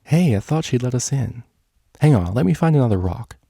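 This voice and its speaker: A man's voice: mid-range voice, animated, brisk, gravelly, dark tone, dry recording, clean studio sound, pitched above the performer's own voice. A cheeky young unicorn, played by a man, talks in a lifted, casual voice. The filly is breezy, slangy and optimistic, a storybook DJ. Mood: cheerful.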